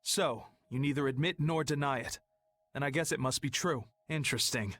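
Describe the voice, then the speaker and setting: An actor playing a mocking, bullying guild thug in an anime video game dub. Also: male voice, medium pitch, normal speed, fairly bright tone, a little hiss or hum, natural voice. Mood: mocking, contemptuous. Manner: mocking, goading. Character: sneering, bullying male guild thug taunting a girl. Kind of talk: English-dubbed anime video game acting.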